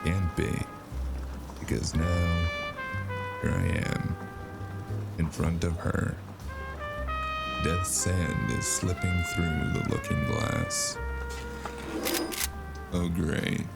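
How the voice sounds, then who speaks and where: A man acting as a haunted, world-weary noir drifter with a very low, gravelly voice. He broods and delivers sardonic lines in an audio drama, with loud music behind him. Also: huge pitch swings, extremely slow, pauses often, heavily acted. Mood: wry, weary. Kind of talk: noir audio drama with first-person narration. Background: loud cinematic music.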